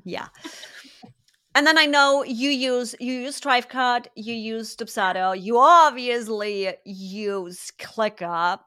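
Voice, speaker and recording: A woman with a German accent hosts a relaxed business podcast interview. Her voice is bright and clear, and she talks in a chatty, curious way with quick asides. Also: on the high side. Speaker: podcast host. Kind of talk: casual interview chat.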